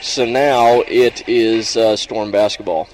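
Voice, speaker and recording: A man calling live basketball play-by-play in halting, piecemeal phrases. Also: on the low side, steady tone. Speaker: play-by-play announcer. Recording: noisy live sports venue broadcast. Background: loud gym ambience.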